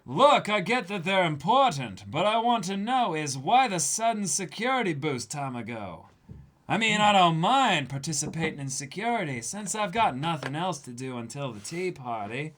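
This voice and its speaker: Brief: a cool, commanding woman officer voiced by a man; firm and snappy, with a lively pitch. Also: normal speed. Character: commanding tall female pirate officer.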